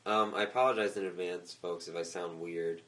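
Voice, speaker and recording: A man co-hosting a casual gaming podcast, his voice a little worn from a cold, talking in a relaxed way with laughs between points. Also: deep voice, brisk, background noise. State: slightly lost voice. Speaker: podcast co-host.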